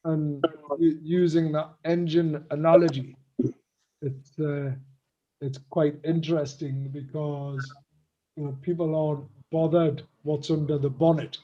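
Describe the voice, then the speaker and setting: A man co-hosting a casual business chat, his voice a little croaky, speaking hesitantly with many fillers and pauses. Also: fairly high pitch, level tone, dark tone, muffled recording. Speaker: co-host.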